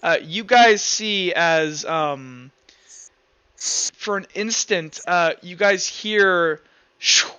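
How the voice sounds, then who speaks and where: A man's voice: high voice, very slow, bright, forceful tone, smooth, no room sound, muffled recording. A man improvising narration as a tabletop game master, informal and hesitant as he pieces the scene together.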